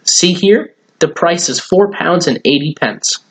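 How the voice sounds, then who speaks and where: A man's voice: on the high side, lively intonation, unhurried, a bit bright, voice pushed up, poor audio. A man voicing a firm, sensible, exasperated mother who scolds matter-of-factly in a storybook reading. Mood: explaining.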